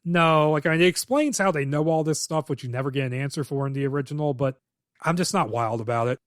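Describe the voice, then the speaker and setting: A man co-hosting a casual podcast, walking through a script's plot in detail and offering frank, opinionated verdicts. His voice sits a little high for a man. The recording is close and dry, with no room sound. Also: fast talker, dry recording. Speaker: podcast co-host.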